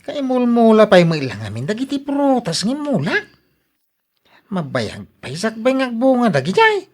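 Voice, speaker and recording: A woman's voice: on the high side, wildly swooping pitch, moderate pace, a little mellow. A woman with an Ilocano accent playing a reluctant, grumbling villager in a radio drama. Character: reluctant, grumbling village spouse sent on errands.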